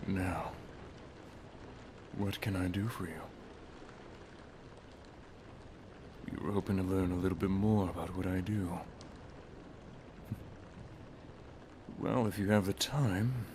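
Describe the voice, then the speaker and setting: A man playing an affectionate, teasing wizard master in an ASMR roleplay, speaking softly, intimately and in a measured way. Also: deep voice, background noise. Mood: teasing, commanding.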